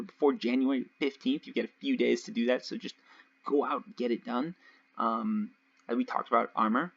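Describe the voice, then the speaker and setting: A young man casually commentating his gameplay nonstop, his voice a little raspy from long talking. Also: medium pitch, a bit bright. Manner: chatty, rambling, nonstop talk with fillers. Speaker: let's play host. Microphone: home desk mic. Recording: dull lo-fi home mic.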